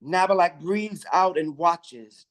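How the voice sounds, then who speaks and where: A man reading stage directions aloud in a measured, even way during a play reading. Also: high-pitched.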